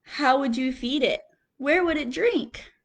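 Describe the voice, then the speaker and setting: A woman voicing a patient father who calmly questions his son, close to her own voice. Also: high-pitched, a little slow.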